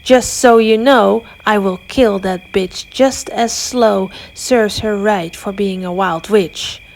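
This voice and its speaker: A woman voicing a cruel male werewolf who snarls loud threats at a wizard. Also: medium pitch, slow, a little hiss or hum, rough recording. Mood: taunting.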